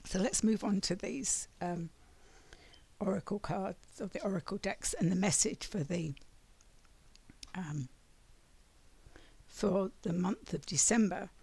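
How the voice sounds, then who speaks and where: Slow raspy woman reading oracle cards. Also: mid-range voice, darkish tone. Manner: meandering, keeps clearing her throat. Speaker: oracle card reader. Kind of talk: spiritual monthly card reading.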